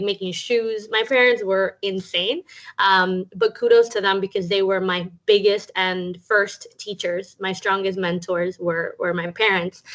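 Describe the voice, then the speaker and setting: Young woman from Cuba, chatty talk over a video call, medium pitch, lots of ums. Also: a bit bright. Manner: chatty, many um fillers. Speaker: guest speaker.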